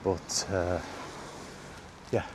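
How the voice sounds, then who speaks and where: Low-pitched, slow-talking man. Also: level tone, noisy background. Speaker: gaming vlogger. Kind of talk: casual rambling monologue while out walking.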